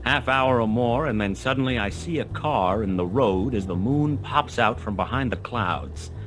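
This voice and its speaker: A man's voice: mid-range voice, normal speed, background noise, natural voice. A man playing a hardboiled detective, narrating a noir radio play in a wry, terse, dramatic way. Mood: tense, wry. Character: hardboiled male detective narrating his brush with death.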